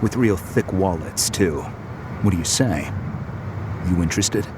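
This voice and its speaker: An actor playing a gruff, chatty security recruiter in a video game, casual, wry and matter-of-fact. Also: male voice, low voice, unhurried. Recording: noisy outdoor ambience behind.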